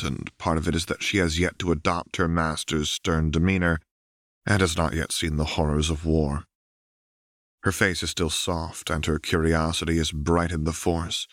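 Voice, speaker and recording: A man narrating a fan fiction audiobook in a steady, even storytelling manner. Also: sits low, no echo, clean studio sound.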